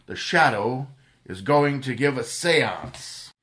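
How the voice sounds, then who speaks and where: In an old-time radio play, a man plays a suave, unflappable playboy sleuth who gives orders coolly and reassures his frightened companion. His voice sits at a middle pitch. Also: animated, slow, a bit bright, soft background music. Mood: calm. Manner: cool, commanding, reassuring. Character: suave, calm playboy crime-fighter, adult man. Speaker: radio drama actor. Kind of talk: 1930s radio drama reenactment.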